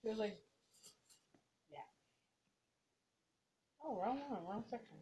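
A woman's voice: on the high side, lively intonation, slow, warm tone. A teenage girl chatting with her friend in a school hallway, rambling and hesitant with lots of ums, recorded on a close laptop webcam. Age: teens. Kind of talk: casual chat with a friend. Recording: dull lo-fi webcam audio with clear background noise.

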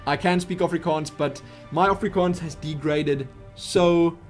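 A young man in his twenties with a South African English accent, answering viewer questions in a chatty, animated way from a small home room. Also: on the high side, unhurried, soft background music. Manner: animated, chatty, theatrical asides. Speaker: YouTube gaming host. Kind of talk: casual Q&A vlog.

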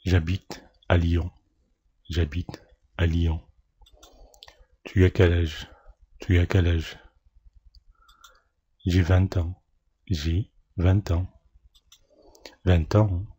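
Low husky guy drilling phrases slowly, says everything over and over, dry close mic. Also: warm tone, no room sound. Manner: explains each line in Arabic, then repeats the French slowly. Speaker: French teacher. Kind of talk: slow repeated drill of a French dialogue.

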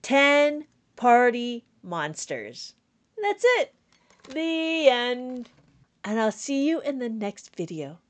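A middle-aged woman reading a children's counting book aloud as a teacher, animated and sing-song, drawing out words for young children. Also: on the high side, slow. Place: small indoor room at home.

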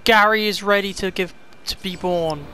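Sweary young Welsh gamer, high voice. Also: male voice, steady tone, pushed and bright, a little hiss or hum. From Wales. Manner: frustrated, sweary, quick outbursts. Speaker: gaming YouTuber. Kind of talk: casual gameplay commentary.

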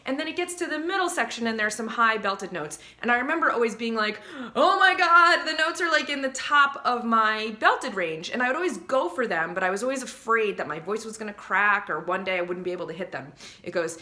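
Bright-voiced woman giving chatty singing tips. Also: on the high side, brisk, hardly any pauses. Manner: chatty and animated, breaks into sung examples. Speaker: vocal coach. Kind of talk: casual teaching to camera. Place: small home studio room, indoors.

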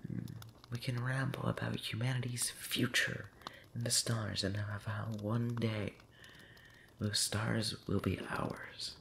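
A man speaking softly and breathily, often whispering, in an intimate ASMR roleplay monologue, rambling hesitantly with long pauses. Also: mid-range voice, little pitch movement, gravelly, a little hiss or hum. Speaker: audio roleplay voice actor.

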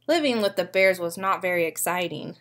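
A young woman reading a picture book aloud to small children like a preschool teacher, expressively and often pausing to ask them questions. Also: fairly low pitch, expressive, a bit bright. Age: young adult. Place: living room at home.

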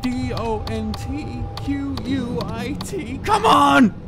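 A woman acts as a small skeleton child in a cartoon drama. The voice is anxious and halting, muttering nervously, with music clearly playing behind. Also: sits high, expressive, heavily acted. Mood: nervous, urgent. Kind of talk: dramatic comic dub voice acting.

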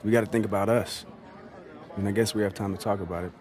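A man's voice: on the low side, fairly even intonation, quick pace, a little mellow, lightly acted. A young man playing a confident, laid-back basketball rookie in a video game cutscene, talking casually with dry humor and slang. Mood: thoughtful. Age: young adult.

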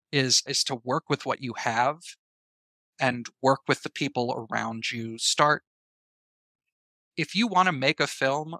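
A man's voice: mid-range voice, bright, forceful tone, no room sound. A man speaking casually as an interview guest, sharing advice and experience in a conversational way. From American Southeast. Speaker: podcast guest, writer and filmmaker.

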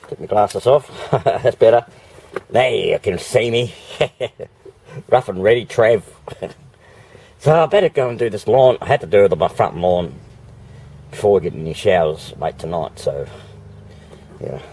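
A middle-aged Australian man with a hoarse voice, chatting casually to camera in a home vlog and laughing often between remarks. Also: fairly low pitch, warm tone. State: hoarse, losing his voice. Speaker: vlogger. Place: home, indoors and in a backyard. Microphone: camera mic.

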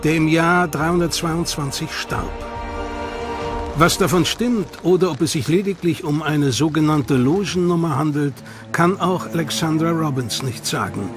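A man narrates a documentary in a measured, serious tone, his voice sitting a little higher than most men's. A clear music bed runs under every line.

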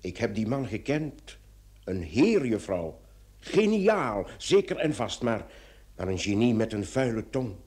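An actor playing a formal, dryly probing police commissioner in a radio play, measured and sometimes hesitant. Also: male voice, on the low side, sing-song, darkish tone. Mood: indignant, hesitant. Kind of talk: old radio play acting.

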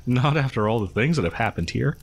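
A man's voice: medium pitch, expressive, normal speed, gravelly, husky. A man playing a friendly, awkward young local who shares grim rumors in a hesitant, rambling, conversational way for an audio horror roleplay. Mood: shy, friendly. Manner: hesitant, rambling, nervous chuckles.

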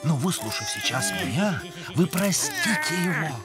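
Earnest lil cartoon sailor kid, high voice, bit preachy, music in back. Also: male voice, huge pitch swings, normal speed. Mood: earnest, soothing. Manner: earnest, reasoning, slightly preachy. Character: gentle, moralizing boy pirate sailor.

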